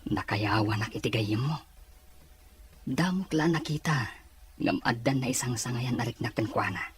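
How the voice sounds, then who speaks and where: A man's voice: medium pitch, moderate pace, husky. A man playing a gossipy, teasing elder brother in an Ilocano audio drama, with an Ilocano accent. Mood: amused. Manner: teasing, animated.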